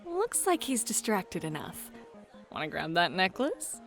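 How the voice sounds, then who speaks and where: A woman playing a playful, flirty club hostess and game master in an ASMR roleplay, bubbly, teasing and expressive. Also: fairly low pitch, unhurried, vocal fry, full character voice. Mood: playful, excited. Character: playful, flirty club hostess running tabletop adventure games. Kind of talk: scripted ASMR roleplay, acted. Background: background music.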